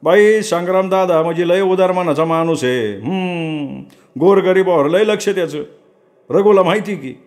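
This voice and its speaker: A man voicing a chatty, boastful male farmhand who talks in loud, coaxing banter. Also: high-pitched, moderate pace, voice pushed up, natural voice. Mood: boisterous, coaxing.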